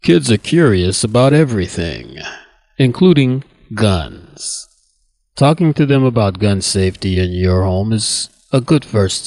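A man reading a scripted public service announcement in a measured, earnest manner. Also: lowish voice, darkish tone. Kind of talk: scripted ad narration.

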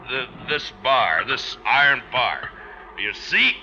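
An actor playing a slow-witted, domineering husband in an old-time radio drama, speaking in halting, repeated simple phrases. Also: male voice, medium pitch, very animated, sharp tone, heavily acted. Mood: menacing, coaxing. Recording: dull, noisy old radio recording.

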